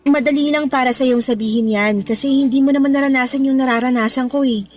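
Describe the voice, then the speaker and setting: A woman's voice: high voice, fairly even intonation, quick pace, darkish tone, faint music behind, natural voice. A woman playing a frank, playfully evasive young waitress with a Filipino accent in a radio drama. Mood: frustrated, weary.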